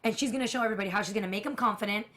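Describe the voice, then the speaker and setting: A woman with a naturally raspy voice chats on a TV review panel. She speaks candidly and at length about her opinions. Her pitch sits in a middle range for a woman. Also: very fast, a bit bright. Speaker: co-host of a TV after-show. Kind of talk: casual panel chat.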